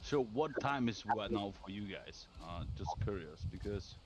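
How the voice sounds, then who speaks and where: A man's voice: fairly low pitch, expressive, moderate pace, noisy background. A man chatting casually as a guest in a voice call, swearing freely, laughing and restarting his sentences. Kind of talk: casual voice chat. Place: home room. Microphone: desk microphone.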